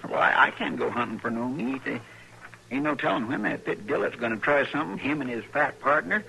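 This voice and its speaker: Gravelly, folksy frontier deputy. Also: male voice, mid-range voice, expressive, fairly quick, pushed and bright. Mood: earnest, plain. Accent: rural Western American. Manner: folksy, rustic, ungrammatical talk. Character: loyal, stubborn frontier deputy, plain-spoken country man. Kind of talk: old-time radio western acting. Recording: noisy vintage radio drama recording.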